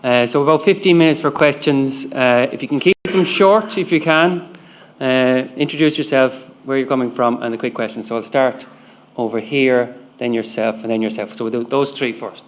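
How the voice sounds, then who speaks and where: A man chairing the question session at a conference, brisk and directing speakers. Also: medium pitch, slight background noise.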